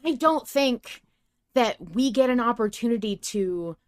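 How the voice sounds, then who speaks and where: A woman's voice: on the high side, lively intonation, unhurried. A woman co-hosting a podcast in casual chat, speaking emphatically and with strong opinions, swearing freely. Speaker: podcast co-host. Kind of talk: casual fan discussion chat.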